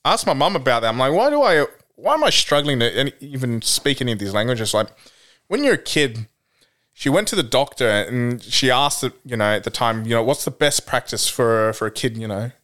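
A young Australian man talks on a podcast in a loose, easygoing way. His pitch sits in an ordinary middle range, and he rambles through his stories with plenty of fillers. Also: brisk. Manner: relaxed storytelling with many fillers. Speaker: podcast guest. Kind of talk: casual podcast chat.

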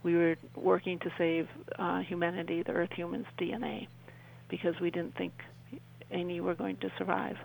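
A woman's voice: fairly low pitch, little pitch movement, moderate pace, creaky, darkish tone, slight background noise, rough recording. A woman speaking earnestly and emphatically as a guest in a radio interview, often repeating phrases.

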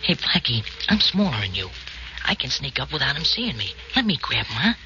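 An actor playing a small, nervous, eager but grumbling sidekick, slangy and plaintive, in a 1940s radio drama. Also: male voice, medium pitch, sing-song, a little fast, lightly acted. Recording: dull, very noisy old radio recording.

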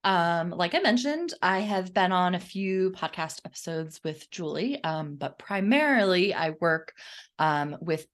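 Slightly low-voiced woman chatting casually. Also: lively intonation, a little slow. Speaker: podcast team member, online course manager. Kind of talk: casual behind-the-scenes podcast chat.

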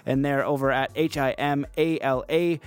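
A man's voice: medium pitch, fairly even intonation, moderate pace, smooth, no echo. A man hosting a podcast, presenting in an informal, enthusiastic way. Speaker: podcast host and coach. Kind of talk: podcast host talk, promos and guest introduction.

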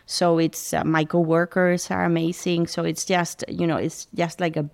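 Woman, middle-aged, low voice, halting chatty guest, many restarts. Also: little pitch movement, gravelly, darkish tone. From Spain. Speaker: food pantry founder, guest. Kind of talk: casual interview talk.

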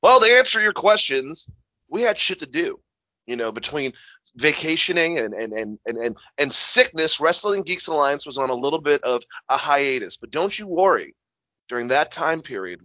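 A young man co-hosts a casual podcast, piling up fillers and repeats while swearing freely. His voice is bright and forceful. It sounds dry and slightly lo-fi. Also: a little high, no echo. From Massachusetts, USA. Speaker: podcast co-host.

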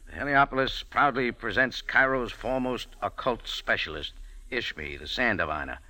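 A man's voice: medium pitch, pushed and bright, no echo, low-quality recording. A man in a 1940s radio drama playing a wisecracking café owner who also narrates in a hardboiled tone. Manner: wry, brisk, hardboiled. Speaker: lead and narrator. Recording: old radio broadcast with music.

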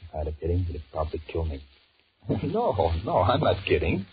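Cowardly, blustering middle-aged executive, very low voice, anxious stammer and muttered thoughts, old-time radio horror, dull noisy recording. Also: male voice, huge pitch swings, fairly quick, husky, heavily acted. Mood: nervous, plotting. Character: panicky married businessman, blustering and cowardly, secretly scheming. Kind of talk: 1940s radio horror acting with inner monologue.

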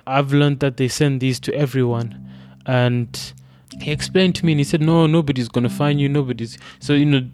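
A man's voice: mid-range voice, fairly even intonation, moderate pace, a little mellow. A young man from Zimbabwe with a Zimbabwean accent, thoughtfully recounting his own experiences and often restarting his phrases. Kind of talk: reflective personal account.

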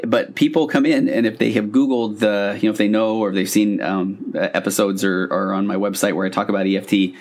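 Low-pitched man hosting a solo podcast. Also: steady tone, fairly quick, few pauses, a little mellow. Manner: conversational, earnest, rambling with self-corrections. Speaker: podcast host and couples therapist. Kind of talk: casual solo podcast monologue.

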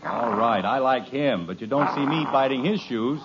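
Man voicing a sarcastic radio father, exasperated, lively pitch, muffled vintage recording. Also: moderate pace, very noisy, close to an everyday voice. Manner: exasperated, wry. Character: harried suburban father, dry and sarcastic. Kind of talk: 1950s radio comedy acting. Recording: muffled old radio broadcast.

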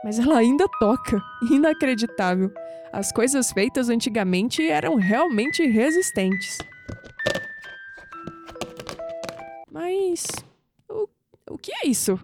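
A woman playing a young, clumsy, curious granddaughter in an audio drama, expressive, with a Brazilian accent. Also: high-pitched, a little slow, in a large hall, over music, exaggerated voice. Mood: startled, puzzled. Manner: expressive, musing aloud to herself.

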